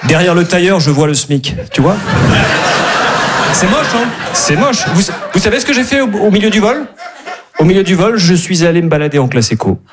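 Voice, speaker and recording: A man's voice: high-pitched, big pitch swings, brisk, over a noisy crowd, very noisy. A man performing a stand-up set, ironic and mock-snobbish, addressing a small live audience. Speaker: stand-up comedian. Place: comedy club stage.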